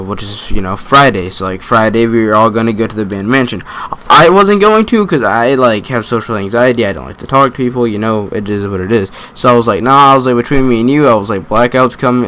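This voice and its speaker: A man's voice: lowish voice, fairly bright tone. A young man telling a story casually as a gaming creator, rambling and slangy, often swearing and interrupting himself. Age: young adult. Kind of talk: casual storytelling over gameplay.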